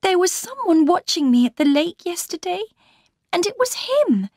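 Woman acting a high-voiced, distressed young gentlewoman. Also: very animated, normal speed, no room sound, clean studio sound, exaggerated voice. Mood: anxious, urgent. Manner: distressed, exclamatory. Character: frightened young gentlewoman, emotional and breathlessly indignant.

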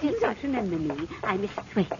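An actress playing a gushing, nosy, chatty spinster neighbour in a 1940s radio drama. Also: female voice, mid-range voice, wildly swooping pitch, brisk. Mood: eager, nosy. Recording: dull, faintly noisy old radio.

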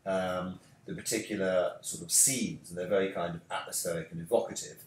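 A middle-aged man speaking in a measured way on a panel, praising a colleague's work. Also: deep voice, steady tone, far from the mic, a little hiss or hum. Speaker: professor. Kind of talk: panel discussion. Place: office with bookshelves. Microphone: distant camera mic. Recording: echoey room from afar.

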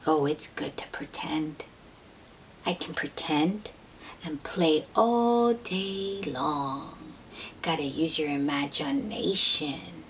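A woman reading a picture book aloud to young children, gently drawing out her words and asking them questions in an expressive way. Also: low-pitched, slow. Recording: steady noise behind the voice.

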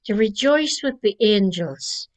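Slow-spoken older woman minister. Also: mid-range voice, animated. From Northern Ireland. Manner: slow, gentle preaching cadence. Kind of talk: Christmas Eve reflection. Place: living room at home. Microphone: webcam at desk distance.

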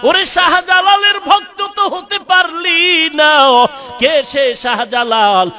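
Bangladeshi man, Islamic sermon, very high pitch, sharp forceful tone, impassioned pleading, chanted drawn-out phrases. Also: soft background music. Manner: impassioned, pleading, swinging between loud drawn-out cries and lower storytelling. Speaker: Islamic preacher. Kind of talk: emotive Bengali waz sermon with chanted, sung-out lines.

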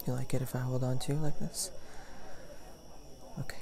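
A young man playing a caring boyfriend in an ASMR roleplay, soft-spoken, hesitant and reassuring. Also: medium pitch, little pitch movement, normal speed, gravelly, a crowd in the background, natural voice. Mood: concerned, reassuring. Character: caring, gentle young boyfriend comforting his partner. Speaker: roleplay voice performer. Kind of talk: ASMR boyfriend roleplay, intimate casual talk. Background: party and night ambience.

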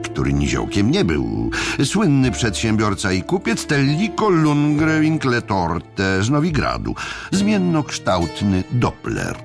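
A man narrating an audiobook drama from a script, with theatrical, wry storytelling. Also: fairly low pitch.

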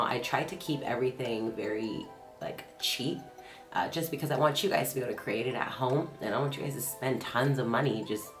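A young woman with a deep, slightly hoarse, allergy-congested voice, chatting informally through a casual makeup tutorial. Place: small home art studio, indoors. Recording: roomy echo, music behind.

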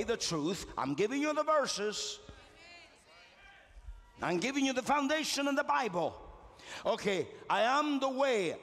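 A man's voice: very high-pitched, a bit bright. A middle-aged man, preaching a fiery sermon with call-and-response in a large church auditorium. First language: Spanish. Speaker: pastor. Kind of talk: impassioned sermon. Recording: live church hall reverb with music. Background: congregation and faint music.

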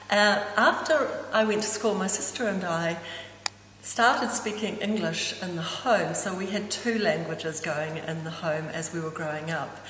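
A woman's voice: deep voice, fairly bright tone. Older New Zealand woman in an echoey room. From Auckland, New Zealand. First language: Danish. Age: 60s. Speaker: interviewee. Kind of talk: personal reminiscence to camera. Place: indoors, hall-like room with hard surfaces. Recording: echoey reverberant room, clear background noise.

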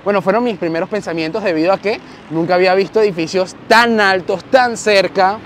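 A man's voice: high voice, fairly quick. A young man with a Venezuelan accent, vlogging animatedly to a handheld camera as he walks along a city street, wearing a lapel mic. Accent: Venezuelan Spanish. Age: young adult. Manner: animated, gestures while walking and talking to camera. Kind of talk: walking vlog storytelling. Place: busy city street outdoors with traffic. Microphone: lapel mic on shirt, handheld camera. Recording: faint street and traffic noise.

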